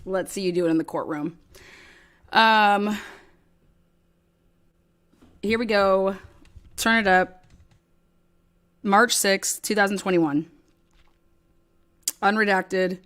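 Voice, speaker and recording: A woman's voice: medium pitch, slow, long pauses, fairly bright tone. A woman hosting a casual livestream rant, speaking in a blunt, combative, profane way. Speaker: livestream host.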